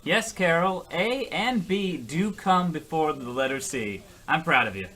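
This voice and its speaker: Man as a high, animated, hapless husband. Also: measured pace. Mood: apologetic, self-pitying. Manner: earnest, defensive, rambling. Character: hapless, oblivious, self-pitying husband cursed by devil. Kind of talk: comedic old-time radio play acting.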